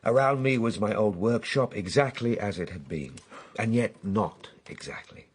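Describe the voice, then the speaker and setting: An actor playing a weary, intense Victorian gentleman time traveller, telling his tale dramatically in short, halting phrases for a radio drama. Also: lowish voice, lively intonation, husky. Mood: reflective. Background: music.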